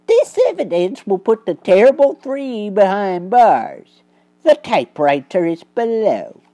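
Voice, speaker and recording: Basil, a woman doing a calm stern detective. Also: medium pitch, unhurried.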